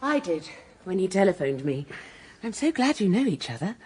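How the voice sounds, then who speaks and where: An actress playing a genteel, proud widow under suspicion in a radio drama, speaking with a refined British accent and a husky, emotive delivery. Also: female voice, fairly low pitch, sing-song, normal speed, darkish tone. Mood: gracious.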